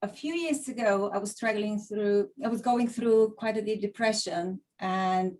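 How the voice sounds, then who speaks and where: A woman's voice: medium pitch, a little slow, darkish tone. A middle-aged woman from Bulgaria with a Bulgarian accent, giving an online talk over a video call, chatty with many fillers and restarts. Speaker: guest speaker. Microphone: computer mic on a video call.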